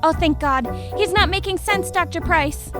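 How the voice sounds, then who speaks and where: A woman's voice: extremely high pitch, moderate pace, loud music behind the voice. A woman playing a fussy, fretful, overprotective mother of a teenage boy in an audio play. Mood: panicked.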